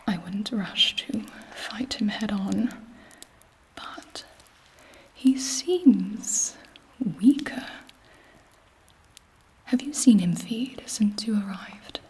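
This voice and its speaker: A woman voicing a scheming, haughty vampire queen in a breathy, deliberate ASMR whisper. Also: medium pitch, a little mellow. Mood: conspiratorial, calculating. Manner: slow, deliberate, imperious. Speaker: ASMR performer.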